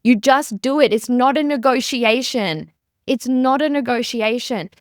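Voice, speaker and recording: A woman's voice: on the high side, measured pace, dry recording. An Australian woman in her thirties talks straight into a close mic, chatty and earnest. She restarts her sentences often and leans on fillers. The sound is dry, with no room around her voice. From rural South Australia. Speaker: podcast host and business coach. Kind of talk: casual solo podcast monologue.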